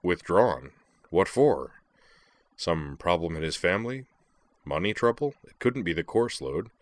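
Man voicing a large affable young student, rumbling, very deep, easygoing. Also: lively intonation, unhurried, dry recording. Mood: affable, concerned. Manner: low rumbling, easygoing, friendly.

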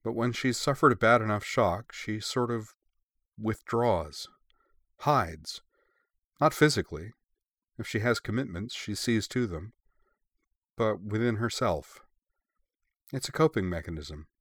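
A man voicing a sombre, reassuring girl in an audiobook story, measured and explanatory. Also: fairly low pitch, animated, a bit bright, no echo, studio quality, pitched above the performer's own voice. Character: young grown-up-sounding girl, sombre, gentle, reassuring. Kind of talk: audiobook character dialogue.

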